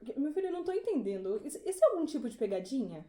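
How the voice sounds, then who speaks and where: A woman's voice: high voice, big pitch swings, quick pace, dark tone, roomy sound. A woman playing an exasperated, bewildered mother who scolds dramatically in an audio play.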